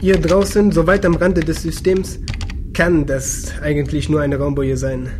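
A man playing a spaceship pilot in a sci-fi radio drama, with a fairly high voice for a man. He reports in exact, technical terms and stays factual. Clear ambient noise surrounds him. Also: flat delivery, measured pace, a little mellow, natural voice. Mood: focused, alert. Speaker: voice actor in a sci-fi radio play.

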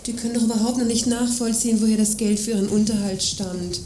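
A woman's voice: on the high side, steady tone, fast, dark tone, natural voice. A woman acting an aggrieved, self-pitying wife of an arms dealer in a satirical radio play, polite but complaining. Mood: indignant. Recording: roomy sound with faint noise.

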